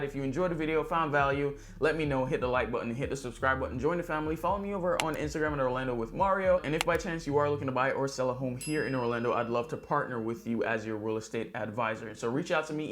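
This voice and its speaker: A man's voice: medium pitch, fairly bright tone. Conversational man hosting an upbeat video recap. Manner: upbeat, chatty, frequently addresses viewers directly. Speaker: real estate agent hosting a weekly local news recap. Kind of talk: vlog news recap to camera, conversational.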